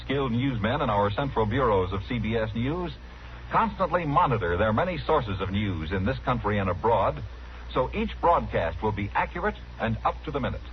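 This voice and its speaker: A man reading a scripted mid-century radio promotion as a formal, polished network announcer. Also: mid-range voice. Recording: dull, slightly noisy vintage radio broadcast.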